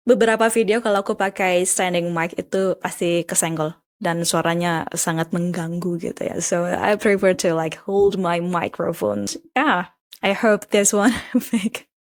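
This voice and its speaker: A woman's voice: on the low side, fairly even intonation, moderate pace. A young woman from Indonesia with an Indonesian accent, giving a casual tutorial as an English tutor and laughing often, into a handheld microphone.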